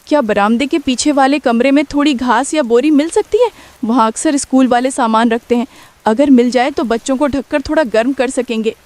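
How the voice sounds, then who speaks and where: High-voiced anxious village mother played by a woman. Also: fairly quick, a little mellow, no echo, natural voice. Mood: worried, urgent. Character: Kamala, anxious, caring poor village mother and wife. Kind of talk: Hindi cartoon story dialogue.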